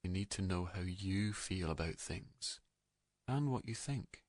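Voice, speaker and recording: A man narrating a guided meditation in a calm, gentle, soothing manner, leaving unhurried pauses between soft phrases. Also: low voice, dry recording, studio quality.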